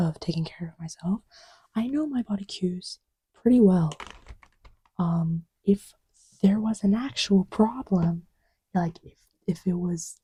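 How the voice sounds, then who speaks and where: A woman playing an easygoing girlfriend in a soft ASMR roleplay, rambling hesitantly in a breathy murmur that often drops to a whisper. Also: medium pitch, no echo. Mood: mildly defensive. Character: easygoing girlfriend chatting idly while testing markers.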